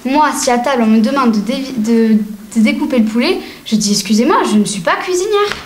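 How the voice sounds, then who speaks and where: A woman's voice: on the high side, expressive, a little fast. A woman in a comedy sketch, agreeing in a knowing way. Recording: echoey room, faint noise.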